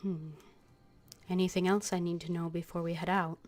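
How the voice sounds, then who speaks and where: A woman game streamer giving casual, unhurried live commentary and reading bits of dialogue aloud. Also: lowish voice, level tone, darkish tone. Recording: music behind the voice.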